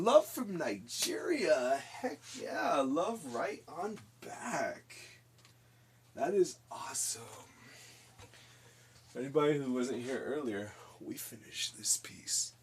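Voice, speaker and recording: A man in his late twenties, hosting a relaxed live stream and reading viewer messages aloud. He giggles often and speaks slowly, his somewhat husky voice rising and falling in lively swings. The tone is casual and chatty throughout. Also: fairly high pitch. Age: 20s. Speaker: livestream host. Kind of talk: casual chat with chat while painting.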